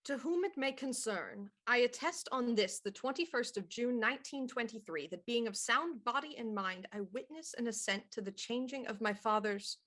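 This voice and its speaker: A shrewd, high-voiced lady inspector. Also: a bit bright. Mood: dry, measured. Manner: deliberate, dry, theatrical deduction. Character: shrewd, composed female police inspector in 1920s murder mystery. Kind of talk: period radio mystery acting.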